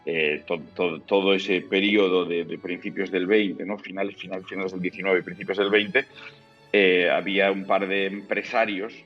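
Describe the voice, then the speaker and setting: A low-voiced man from talks as a singer guest in an interview. He explains with care, pausing to look for the right words. The audio is dull and lo-fi, with faint music behind him. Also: flat delivery. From Spain. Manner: thoughtful, explanatory, searching for words. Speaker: opera and zarzuela baritone guest. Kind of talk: interview conversation. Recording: muffled lo-fi audio.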